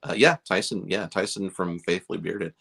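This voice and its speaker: A man's voice: sits low, moderate pace, creaky, fairly bright tone, husky. A middle-aged man from Chicago, a beard company owner, chatting casually on a livestream in a rambling, self-deprecating way with lots of fillers.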